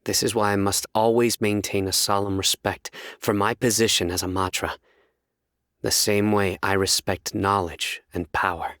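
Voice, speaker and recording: Low, solemn young enforcer of justice, acted by a man. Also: no room sound, clean studio sound, natural voice. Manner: measured, deadpan, formal. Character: solemn, stern young male enforcer of justice, dryly earnest. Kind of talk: scripted video game character voice lines.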